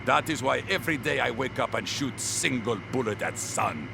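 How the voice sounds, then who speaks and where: An actor voicing a gloomy, deadpan adult Russian man who speaks clipped broken English with a Russian accent, in an animated sitcom. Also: medium pitch, normal speed, gravelly, background noise.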